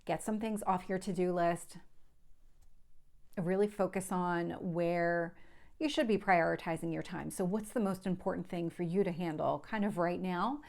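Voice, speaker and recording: A woman's voice: lowish voice, moderate pace, vocal fry, a little mellow. A woman with a slight lisp, giving a conversational tarot reading to viewers and explaining things in a reassuring way, often repeating points. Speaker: tarot reader, channel host.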